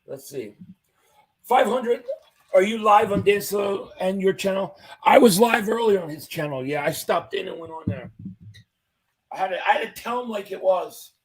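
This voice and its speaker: A man's voice: sits high, normal speed, low-quality recording. A man hosting a casual sports livestream, reading viewer comments aloud as an upbeat fan while he loses his voice. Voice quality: husky, fading voice. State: losing his voice. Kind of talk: casual live-chat commentary.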